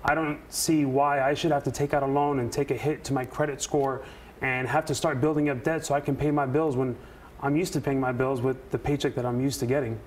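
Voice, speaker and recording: A man speaking as a union representative in a news interview, earnest and emphatic, with deliberate phrasing. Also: mid-range voice, level tone, darkish tone, slight background noise. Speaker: air traffic controller and union representative, interview guest. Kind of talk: live news interview answers.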